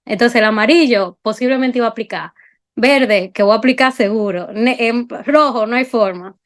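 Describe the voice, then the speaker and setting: Young dominican woman, kinda high voice, chatty panel talk, lots of "entonces". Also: normal speed. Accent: Dominican Spanish. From Dominican Republic. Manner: explanatory, step-by-step, many fillers. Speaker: incoming medical resident. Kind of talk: casual panel chat.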